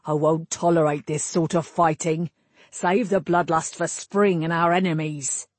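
Storybook guard captain, blunt scolding man, dry husky voice, read by a woman. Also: deep voice, fairly even intonation, measured pace, dry recording. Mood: stern. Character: annoyed gruff male captain of the guard.

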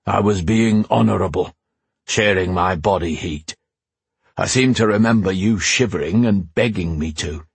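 Storybook armoured knight, voiced by a man: gruff, deep-set, very raspy, dry and honourable. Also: normal speed, no echo, exaggerated voice. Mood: dry, bantering. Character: gruff armoured male knight, loyal, dry-witted lover.

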